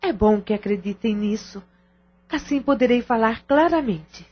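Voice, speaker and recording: An actress playing a sensible, composed sister-in-law in an audio novel, speaking measured formal lines with a Brazilian accent. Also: female voice, fairly high pitch, lively intonation, slightly rough, lo-fi sound. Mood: calm, reasoning. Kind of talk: audio-novel acting, formal scripted dialogue.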